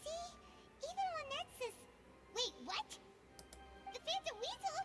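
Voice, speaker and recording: A woman voicing a tiny, excitable and blunt fairy companion in an anime video game, with childlike delivery. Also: extremely high pitch, unhurried, over music, full character voice. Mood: alarmed, confused. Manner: refers to self in third person, exclaiming.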